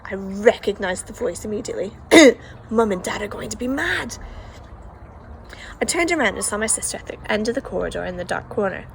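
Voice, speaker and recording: A woman's voice: medium pitch, expressive, fairly quick, creaky, rough recording. A woman reading a children's novel aloud as the first-person narrator, telling the story expressively. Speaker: audiobook reader.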